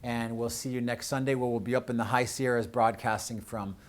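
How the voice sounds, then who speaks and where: An American man speaking as host of a community show, addressing his audience off the cuff in an earnest, halting way with drawn-out pauses. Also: medium pitch, fairly even intonation. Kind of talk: unscripted closing address to audience with thanks.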